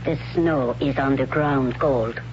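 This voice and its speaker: A performer playing a stern, devout priestess in a 1970s radio drama, speaking in a formal, measured, solemn manner. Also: medium pitch, natural voice. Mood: grave, tender. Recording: muffled, noisy 1970s radio recording.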